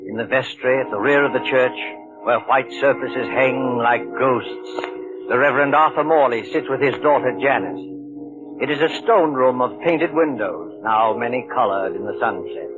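A man narrating a 1940s radio drama in a measured, atmospheric way. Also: medium pitch, natural voice. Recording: muffled old radio recording with music.

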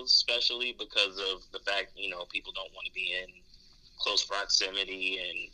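Deep, very bright-voiced man talking candidly. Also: poor audio. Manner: hesitant, thoughtful, repeats words while explaining. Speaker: podcast co-host discussing dating. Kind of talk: candid conversational talk.

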